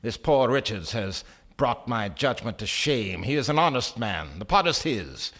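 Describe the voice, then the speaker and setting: A man playing a sly, self-assured gentleman speculator in a stage radio play, speaking formally like an orator. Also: medium pitch, very animated, a little slow, voice pushed down, exaggerated voice. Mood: musing, confident. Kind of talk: stage radio drama acting.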